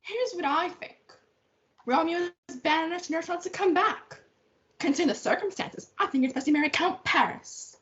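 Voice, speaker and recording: A young woman playing a practical, excitable family nurse in a stage-play reading. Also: very high voice, moderate pace, lo-fi sound. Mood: persuading. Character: Juliet's nurse, practical, excitable female servant.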